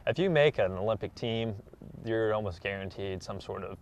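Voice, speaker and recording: A man's voice: fairly low pitch, expressive, normal speed, a little hiss or hum. A young American man in his twenties, an athlete giving a casual interview in an earnest, modest way. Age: 20s. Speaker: track athlete being interviewed.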